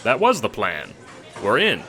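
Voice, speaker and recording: A man's voice: fairly low pitch, huge pitch swings, a little slow, bright, forceful tone, voice pushed up, exaggerated voice. A man voicing a brash old male adventurer in an audio drama, grumbling and ordering people about in old-fashioned blustery talk. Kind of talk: audio drama character acting.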